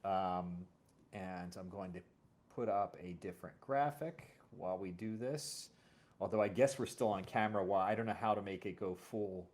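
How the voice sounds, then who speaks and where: A man's voice: low voice, normal speed, a little mellow. A man chatting casually and informally as the host of a livestream. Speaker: stream host.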